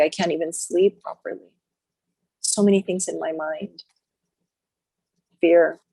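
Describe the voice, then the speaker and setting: A woman guides listeners through a healing meditation in a low, husky voice. She speaks softly and slowly in short repeated phrases with long pauses. The sound is dry and close. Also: dry recording, rough recording. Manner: slow, soft, repetitive phrases with long pauses.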